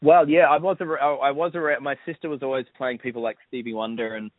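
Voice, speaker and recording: A man in his forties from New Zealand, a bandleader talking haltingly with many restarts in an interview. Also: medium pitch, fairly even intonation, dry recording. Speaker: bandleader and guitarist. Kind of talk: interview over the phone. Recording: dry tinny phone line.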